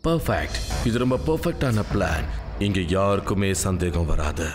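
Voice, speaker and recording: A man's voice: low voice, big pitch swings, normal speed. A man playing a calculating criminal mastermind in a cartoon dub, commanding and self-satisfied. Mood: smug. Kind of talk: cartoon villain dub acting. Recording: prominent music behind the dialogue.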